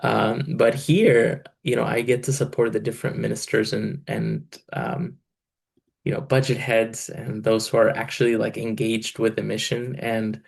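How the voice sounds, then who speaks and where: Thirties man from Egypt, church finance guy; low, gravelly, easygoing interview answers. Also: a little slow. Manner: relaxed, many fillers, laughs between phrases. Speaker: church financial controller and bivocational pastor.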